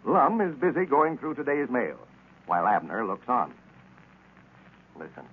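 A man's voice: medium pitch, big pitch swings, measured pace, slight background noise. A man narrating from a script as an announcer in the style of 1940s radio. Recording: tinny, rough old radio recording.

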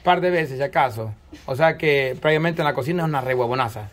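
A man's voice: medium pitch, fast talker. A man with a Salvadoran accent, teasing and questioning a group, often talking over them. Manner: teasing, talks over others. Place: outdoor covered hall with a crowd. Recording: noisy crowd around.

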